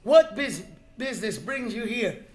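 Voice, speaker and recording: A man playing a timid, sentimental old miser in a radio drama, with a halting, theatrical delivery. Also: extremely high pitch, animated. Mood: timid awe.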